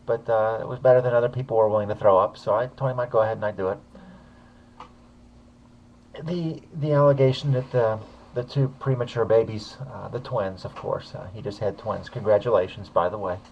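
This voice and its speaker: A man with a somewhat low voice talks through a video he uploaded, in an unscripted, hesitant way. He pauses often and restarts his sentences. The recording sounds muffled and lacks high end. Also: fairly even intonation, a little hiss or hum. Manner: hesitant, many fillers and restarts. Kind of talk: casual spoken commentary.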